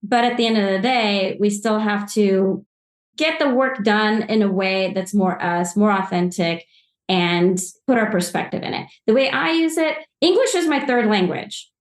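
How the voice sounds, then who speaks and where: A woman in her forties, originally from Poland, talks with bubbly enthusiasm and a rambling flow full of restarts. Her voice sits at an ordinary middle pitch. The recording has a noticeable roomy echo. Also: moderate pace. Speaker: social media agency guest. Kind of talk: podcast interview answers.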